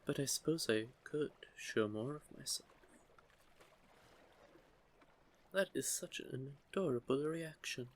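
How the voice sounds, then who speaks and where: A man voicing a curious, teasing young merman in an intimate audio roleplay, with a gently formal, measured way of talking. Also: mid-range voice, animated, lightly acted. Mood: playful teasing. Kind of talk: scripted audio roleplay, intimate one-sided conversation.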